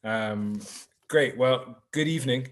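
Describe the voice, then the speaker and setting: A Scottish man in his thirties, a tour guide giving a relaxed, chatty virtual tour over a video call. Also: medium pitch, slow. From Scotland. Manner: relaxed and chatty, sprinkling in French words. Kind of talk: live virtual tour presentation. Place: home in Marseille.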